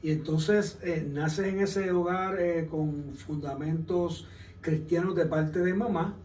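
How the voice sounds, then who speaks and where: High-voiced male show host, roomy. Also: steady tone, slow, a little mellow, slight background noise. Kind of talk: interview hosting. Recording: roomy, distant mic.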